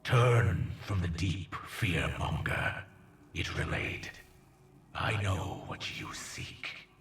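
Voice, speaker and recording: A ruthless alien conqueror speaks with grave, grandiose devotion in a theatrical game monologue. The voice is gravelly and breathy, swinging widely in pitch, and sounds distant in an echoing space. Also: male voice, animated, fairly bright tone, full character voice. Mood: menacing pride. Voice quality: breathy, gravelly low rasp. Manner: grandiose, solemn, measured, reverent. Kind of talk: slow theatrical dramatic monologue in a video game. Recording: echoing, distant, faint noisy ambience.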